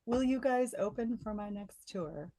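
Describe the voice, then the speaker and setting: A woman co-hosting a podcast in a casual, joking, animated way. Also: a little high, a little slow. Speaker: podcast co-host, voice actor.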